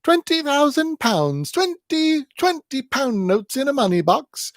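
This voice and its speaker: A male reader voicing a senile old woman who jabbers about money in a shrill screech. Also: very animated, measured pace, no room sound, studio quality. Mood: jabbering.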